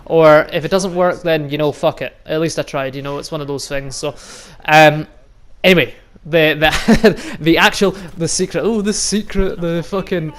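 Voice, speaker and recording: A young Scottish man from the Highlands in his twenties, talking casually to his viewers. He rambles, swears freely and laughs often. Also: steady tone, moderate pace, hardly any pauses, a bit bright. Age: 20s. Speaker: vlogger and youth football coach. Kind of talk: casual monologue to camera.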